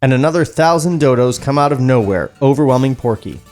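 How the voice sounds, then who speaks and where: A man's voice: medium pitch, expressive, brisk, a little mellow. A man hosting a podcast, reading screenplay stage directions aloud into a studio microphone. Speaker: podcast host and script narrator.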